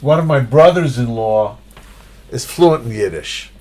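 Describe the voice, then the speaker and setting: A man's voice: mid-range voice, lively intonation, a little slow, a little mellow. A middle-aged man, the interviewee's son, adding family details in casual conversation with chatty restarts.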